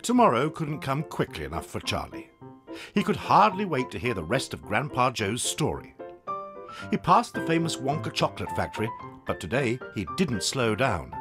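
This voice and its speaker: A man's voice: medium pitch, big pitch swings, normal speed, over music. A man giving scripted narration for a dramatised story.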